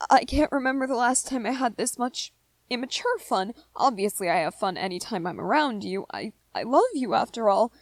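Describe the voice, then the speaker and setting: A woman voicing a gentle, lovestruck young vampire man in a romantic ASMR roleplay, earnest and hesitant, with small stammers. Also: a little high, no room sound. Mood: flustered.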